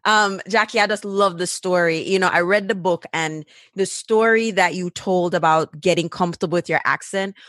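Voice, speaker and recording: A woman's voice: lowish voice, normal speed, hardly any pauses, fairly bright tone, dry recording, clean studio sound. A woman host with a Jamaican accent, chatting through an anecdote in an interview. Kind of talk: interview conversation.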